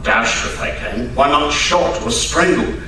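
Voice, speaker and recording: A man playing a loyal, bewildered gentleman doctor in a radio mystery, blustery and exclamatory with old-fashioned British expressions. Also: a little high, expressive, unhurried. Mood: puzzled. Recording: very echoey hall, distant mic, faint noise.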